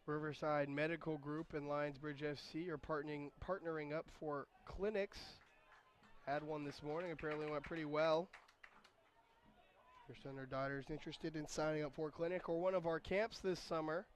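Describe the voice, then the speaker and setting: A man with a raspy, hoarse voice doing solo play-by-play commentary of a soccer match, chatty and quick to correct himself. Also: a little high, steady tone. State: hoarse voice recovering. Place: outdoor stadium.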